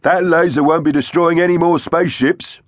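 A man voicing an excitable dinosaur crew member in a children's space adventure story, with an emphatic, animated delivery. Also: a little high, normal speed, dry recording, studio quality, pitched above the performer's own voice, lightly acted. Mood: jubilant.

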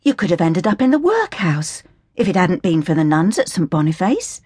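A woman's voice: on the low side, sing-song, normal speed, husky, no room sound, studio quality. A woman voicing a meek, pious young orphan girl. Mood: gentle. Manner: soft and gentle.

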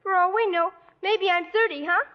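Very high, plucky little girl. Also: moderate pace. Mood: playful, curious. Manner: plucky, pert, affectionate. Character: spunky, devoted eleven-year-old orphan girl in a lighthouse. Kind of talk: 1940s radio acting. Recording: dull vintage radio recording.

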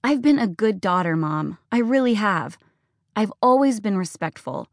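A defiant teenage farm girl, as a woman reader puts her on, pleading and accusing in a raised, lively voice. The sound is close and dry, as in a storybook reading. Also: fairly high pitch, moderate pace, dry recording, pitched above the performer's own voice, close to an everyday voice.

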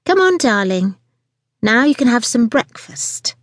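A woman's voice: on the high side, very animated, measured pace, no echo, clean studio sound, pitched above the performer's own voice. A woman voicing a bossy, impatient mother in an audiobook, with a pointed, demanding manner. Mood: chirpy.

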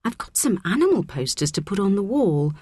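A woman's voice: medium pitch, sing-song, fairly quick, a little mellow, breathy edge, no echo, studio quality, voice pushed up. A woman voicing a friendly, earnest ten-year-old schoolgirl in a children's audiobook. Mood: friendly, eager.

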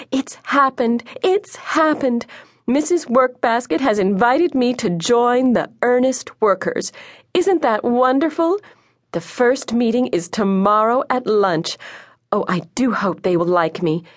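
A woman's voice: mid-range voice, very animated, slow pace, hardly any pauses, slightly rough, no echo, studio quality, pitched above the performer's own voice, exaggerated voice. A woman voicing a doting, fluttery housewife mother in a storybook, effusive and over-sweet. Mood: gushing, excited.

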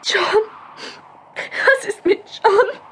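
A woman's voice: very high voice, extremely slow, a bit bright, slight background noise, full character voice. An actress playing a frightened, shaken young woman in a radio play. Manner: anxious, shaken.

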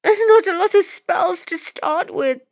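Desperate, very high-pitched pleading woman. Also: very slow, pushed and bright, no room sound, exaggerated voice. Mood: nervous pleading. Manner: pleading, stammering. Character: desperate pleading condemned woman, poor hungry mother in fantasy world.

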